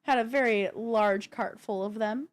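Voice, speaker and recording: A woman's voice: on the high side, unhurried. A woman hosting a gaming news show in a casual, chatty live-stream style, speaking informally and directly to viewers.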